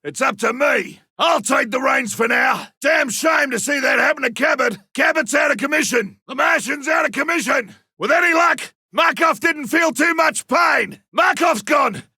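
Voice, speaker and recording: A man voicing a gruff, hearty older Australian big-game hunter in a video game, gravelly, barking short battlefield lines. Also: very high-pitched, animated, normal speed, hardly any pauses, sharp tone, no echo, raised voice, clean studio sound, exaggerated voice. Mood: loud, rueful.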